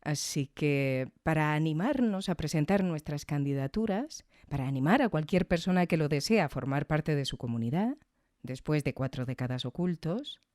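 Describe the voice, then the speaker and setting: A woman's voice: low voice, animated, moderate pace, darkish tone, no echo, studio quality. A woman hosting a podcast, giving reflective, conversational scripted commentary with tag questions. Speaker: podcast host.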